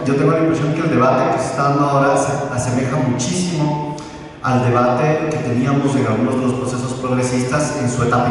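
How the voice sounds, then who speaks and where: A man from Ecuador with an Ecuadorian accent, asking a long, searching question from the audience and often ending phrases with a questioning tag. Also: medium pitch. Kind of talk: academic question from the floor. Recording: echoey courtyard, distant mic, faint noise.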